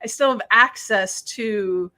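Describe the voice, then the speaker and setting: A woman from Wisconsin, a guest telling stories in a lively, animated way. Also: medium pitch, slow. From Wisconsin, USA. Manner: animated storyteller who acts out other people's lines. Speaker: guest, spiritual practitioner. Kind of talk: casual conversation.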